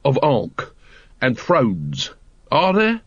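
A man voicing a gruff, weary male city watch captain in a storybook reading, blunt and exasperated. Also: mid-range voice, wildly swooping pitch, drawn-out words, no room sound, highs cut off, heavily acted.

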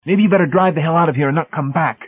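A man's voice: fairly high pitch, a little fast, breathy edge, narrow, tinny audio, pitched above the performer's own voice. A man voicing a smug young male zealot technician in an audiobook, pompous, declamatory and sanctimonious.

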